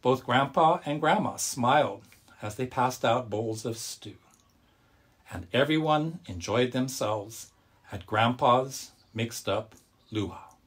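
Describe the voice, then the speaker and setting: A man's voice: medium pitch, takes it slowly, breathy edge. A man speaking as a storyteller and service leader, addressing children and families in simple, friendly language.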